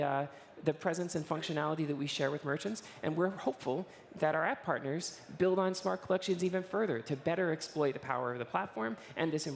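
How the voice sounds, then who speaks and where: A hoarse woman in her thirties, giving an informal conference talk on a large stage with many filler words and restarts. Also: low voice, slight background noise. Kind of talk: conference presentation. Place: stage of a large conference hall in front of an audience.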